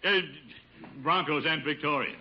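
Pompous, chuckling uncle, noisy old radio. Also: male voice, on the high side, a little slow. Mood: pleased, self-important. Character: pompous, smitten middle-aged uncle, blustery and chuckling. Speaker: lead comic actor. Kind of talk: 1950s radio sitcom acting. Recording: noisy, muffled 1950s radio recording.

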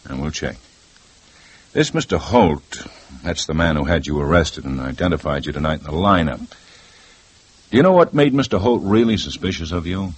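An actor playing a calm, firm male police detective who questions a suspect in a 1950s radio drama. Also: a bass voice, moderate pace, slightly rough, dry recording, heavily acted. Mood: probing. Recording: old radio recording with faint hiss.

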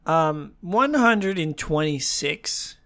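A young man hosting a casual chat show, talking in a wry, rambling way full of fillers, his voice slightly hoarse. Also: fairly high pitch, expressive, slow pace, sharp tone. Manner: chatty, wry, lots of fillers and restarts. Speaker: podcast host.

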